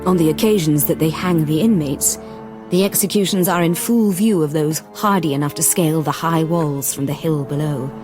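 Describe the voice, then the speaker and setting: A woman's voice: sits low, normal speed, over music, close to an everyday voice. An actress playing a determined Victorian woman who narrates a gothic radio drama in the first person. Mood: grim.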